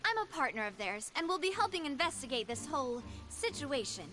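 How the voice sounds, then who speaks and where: A woman's voice: high-pitched, sing-song, a little fast, pushed and bright, full character voice. A woman playing a confident, playful young woman in a game. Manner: confident, playful, laughs easily. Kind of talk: game cutscene voice acting. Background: game music.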